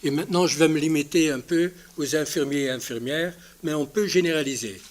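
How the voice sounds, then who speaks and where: A man, a native Dutch speaker with a Dutch accent, giving a conference presentation in a halting way, searching for his words. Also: fairly high pitch. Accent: Dutch-speaking, non-native French. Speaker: conference speaker from a healthcare organisation.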